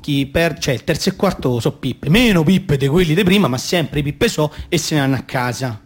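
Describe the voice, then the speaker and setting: Excitable Roman little boy, high-pitched. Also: normal speed. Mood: eager explaining. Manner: colloquial, stumbling, self-correcting. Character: football-mad little boy, naive, excitable, rambling. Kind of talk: comic radio theatre monologue.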